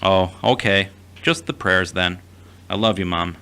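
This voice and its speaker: Man playing a gentle young man, low bright voice, earnest, reassuring, fragile, audio drama. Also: unhurried, full character voice. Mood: concerned.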